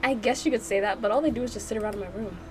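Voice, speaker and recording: A woman's voice: on the high side, very fast, close to an everyday voice. A woman voicing a blunt, exasperated bookish man in a visual novel, in a dismissive, scolding manner.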